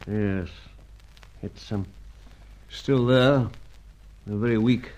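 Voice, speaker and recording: A man playing a grave, avuncular older spy chief in an old-time radio drama, measured and slightly hesitant. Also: lowish voice, a little mellow. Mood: grave, hushed. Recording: dull, lo-fi radio serial sound.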